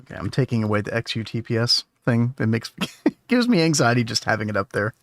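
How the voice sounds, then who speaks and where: A male streamer talks through a game in an easygoing, wandering way, chatting to his viewers as he works. His voice is slightly hoarse from overuse, sitting at a middle pitch. The sound is dry and close, with no room around it. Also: animated, quick pace, dry recording. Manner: rambling, easygoing, talks to chat. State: losing his voice. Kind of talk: casual gameplay commentary.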